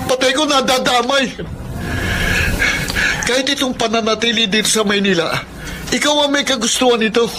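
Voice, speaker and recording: An actor playing a trusting but anxious, reproachful young friend in an emotive Tagalog radio drama, with a Filipino accent. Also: male voice, very high-pitched, moderate pace, long pauses, noisy background. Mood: angry, reproachful.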